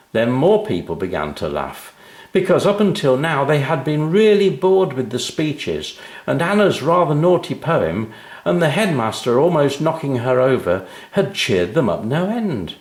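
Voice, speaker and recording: A man reading a scripted children's story as narrator, in an expressive and deliberate storytelling manner. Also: medium pitch, roomy sound. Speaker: author reading his own story.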